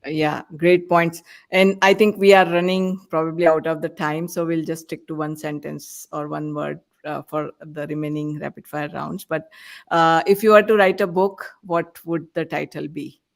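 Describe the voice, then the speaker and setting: A podcast host running a rapid-fire question round and affirming each answer in an encouraging way. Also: medium pitch, fairly even intonation, gravelly.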